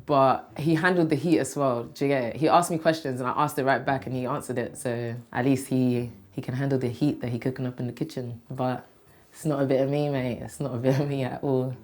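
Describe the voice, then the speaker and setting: A young woman in her twenties whose voice is hoarse and only just coming back, chatting frankly and giggling on a blind date. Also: very deep voice, fairly even intonation, a little fast, darkish tone. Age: 20s. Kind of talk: casual chat.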